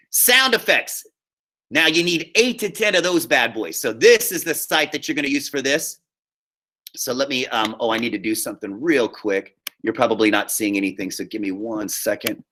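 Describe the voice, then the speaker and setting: A man's voice: medium pitch, bright, forceful tone. An American man giving a chatty, step-by-step lesson over a video call as a theater teacher, often checking in with his students. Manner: chatty, checks in often with okay and all right. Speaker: high school theater teacher. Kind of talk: casual instructional screen-share lesson. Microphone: computer mic on a video call.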